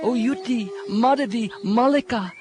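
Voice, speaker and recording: A man's voice: very high-pitched, voice pushed up. A man voicing distraught, lovelorn cowherd women who plead in exclamations, in a dramatic scripture reading. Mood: hopeful entreaty. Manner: imploring, exclamatory, drawn-out. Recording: music under the voice.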